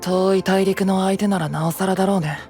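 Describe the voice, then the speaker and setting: High-voiced, cool young knight. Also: male voice, fairly even intonation, brisk, darkish tone, faint music behind. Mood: cool, blunt. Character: cool, guarded, perceptive young male knight. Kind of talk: anime-style voice drama acting.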